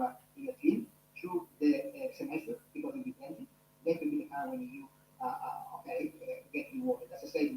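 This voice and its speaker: A man's voice: extremely high pitch, vocal fry, darkish tone, reverberant, a little hiss or hum, poor audio. A man with an Italian accent, a university lecturer speaking to his online class in an earnest way, with many fillers and restarts. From Italy.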